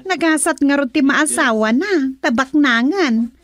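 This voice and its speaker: A woman's voice: high-pitched, lively intonation, unhurried, fairly bright tone, no room sound, studio quality. A woman playing a nosy, teasing friend who loves gossip in a radio drama, with an Ilocano accent. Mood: gossipy.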